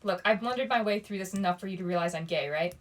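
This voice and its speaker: A woman's voice: lowish voice, fast, close to an everyday voice. Woman narrator as teenage boy, storybook character, weary, guarded, wry, resigned.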